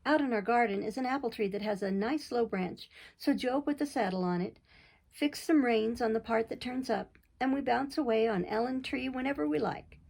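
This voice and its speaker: A woman's voice: fairly high pitch, normal speed, gravelly, darkish tone, voice pushed up. A woman voicing a chatty, eager, horse-mad young girl in a storybook. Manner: eager, cheerful.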